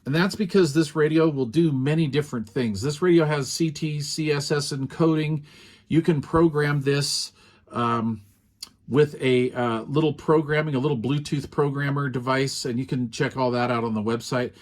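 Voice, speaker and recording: A man's voice: on the high side, moderate pace. Easygoing man reviewing gear. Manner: relaxed and rambling, many fillers. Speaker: radio reviewer. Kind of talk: casual product review.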